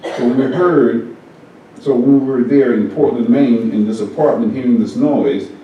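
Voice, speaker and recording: A man reads a personal story aloud to a congregation at a steady, unhurried pace. His voice is deep and mellow, and he sits well back from the microphone. The hall around him rings with strong echo. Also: lo-fi sound. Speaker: service reader. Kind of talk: reading a first-person story aloud at a church service. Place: church meeting room. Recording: very echoey hall, mic far off.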